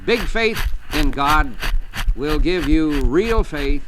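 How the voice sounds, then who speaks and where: Male narrator, formal and preacherly, animated higher voice, inspirational reading on slightly noisy archival audio. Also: slow. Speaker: author and inspirational speaker reading his text. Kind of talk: scripted self-help narration with religious message. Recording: noisy archival spoken-word audio with clicks.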